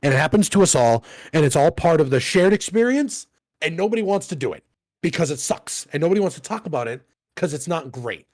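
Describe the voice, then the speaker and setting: A man's voice: fairly high pitch, animated, brisk, a little mellow. A man in his thirties co-hosting a podcast in casual chat, rambling and profane, often laughing at himself. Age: 30s. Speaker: podcast co-host.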